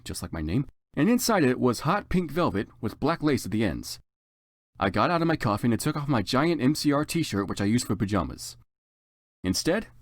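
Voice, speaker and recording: Theatrical man narrator with wildly swooping pitch. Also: no echo, clean studio sound. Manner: theatrical, exaggerated emphasis. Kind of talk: dramatic comedic fan fiction reading.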